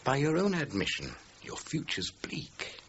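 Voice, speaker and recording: A man playing a senior British police detective in a radio crime drama, middle-aged, speaking in a measured, formal, sardonic way. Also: medium pitch, huge pitch swings, a bit bright, slightly rough, full character voice. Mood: coolly accusing. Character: senior male police detective, methodical, coolly accusing. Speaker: actor. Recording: muffled audio with faint noise.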